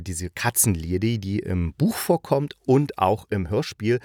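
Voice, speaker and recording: A man's voice: on the low side, no echo, clean studio sound. A man from eastern Germany hosting a review, talking in a casual, chatty and enthusiastic way. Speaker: audio drama review host.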